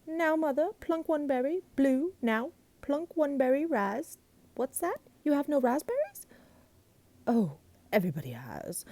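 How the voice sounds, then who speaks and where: A woman's voice: sits high, warm tone, dry recording, voice pushed up, heavily acted. A woman voicing a greenish male creature from a storybook, a pushy chatterbox who talks on and on in a bossy singsong.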